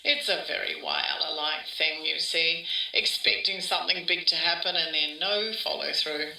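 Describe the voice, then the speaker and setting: A woman's voice: sits low, moderate pace, gravelly, pushed and bright, exaggerated voice. A woman playing an older woman who rambles wistfully through childhood memories in a conversational, interview-style podcast drama. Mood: resigned. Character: wistful older Australian woman reminiscing about her small-town childhood. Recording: roomy echo, distant from mic.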